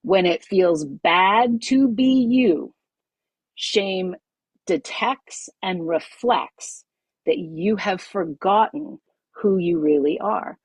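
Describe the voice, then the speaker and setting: A middle-aged woman, a yoga teacher, leading a group masterclass over a video call, emphatic and expressive, repeating her key phrases. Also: medium pitch, extremely slow, husky, dry recording, poor audio.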